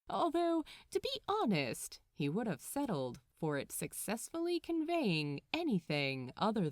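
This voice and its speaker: A woman narrates fiction with highly varied, lively intonation. She takes her time over each phrase, shaping it for drama. The sound is dry, with no room echo. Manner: animated, measured, dramatic phrasing. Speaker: podfic narrator. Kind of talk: expressive scripted storytelling narration.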